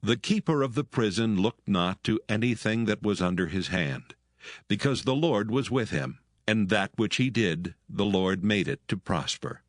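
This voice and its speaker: Man narrating an audio bible, solemn and measured, on the deep side, really melodic, close dry sound. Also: animated, dry recording. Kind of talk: dramatised scripture narration.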